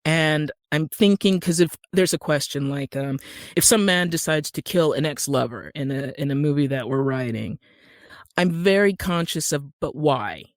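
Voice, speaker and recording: A woman with an American accent, talking as an interview guest in a conversational, animated storytelling way that acts out bits of dialogue. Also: low voice, moderate pace, dry recording, clean studio sound. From Utah, United States. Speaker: guest, actor and screenwriter.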